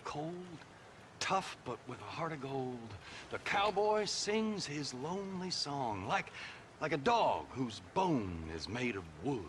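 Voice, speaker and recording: A chattering, self-amused dandy reporter out West in a video game. His bright voice swoops up and down as he gushes, over faint noise. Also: medium pitch, big pitch swings, slow pace. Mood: gushing. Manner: gushing, wordy, self-amused, repeats himself. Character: excitable, chattering male East Coast magazine writer out West. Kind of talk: theatrical video game acting.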